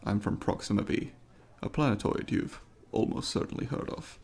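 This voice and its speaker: A man's voice: on the low side, moderate pace, creaky, darkish tone, breathy edge. A man voicing a polite, eager alien student in an ASMR roleplay, speaking formally and precisely to the listener in an inquisitive, apologetic way. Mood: careful, reassuring.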